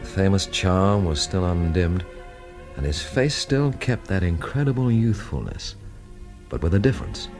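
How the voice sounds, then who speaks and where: Tough sardonic investigator, radio mystery drama, animated low-pitched delivery, blunt, faint music behind. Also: male voice, normal speed. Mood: brooding, measured. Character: tough wry London friend investigating a mystery, man. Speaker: narrator and lead actor.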